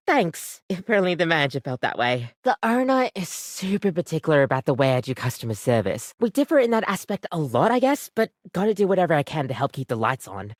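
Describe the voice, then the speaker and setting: Lively, overworked barista. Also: female voice, lowish voice, no room sound, clean studio sound. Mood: friendly, wry. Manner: casual, rambling, self-deprecating, hesitant. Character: overworked, apologetic female coffee-maker barista, chatty and wearily devoted. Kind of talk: video game character acting, conversational.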